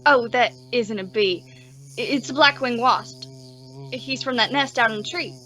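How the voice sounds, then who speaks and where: A woman's voice: on the high side, normal speed, bright, forceful tone, slight background noise. A woman playing a timid, bookish young man made sheriff, with a hesitant, stammering, anxious manner, in an old-time western radio drama. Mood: shy, earnest. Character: timid, bookish young male sheriff, nervous insect collector.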